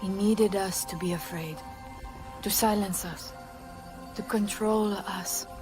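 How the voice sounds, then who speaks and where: Woman voicing a young alien in a video game, resolute and spiritual, medium pitch, music behind. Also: fairly even intonation, slow, natural voice. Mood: resolute, hushed. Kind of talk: dramatic game cutscene acting.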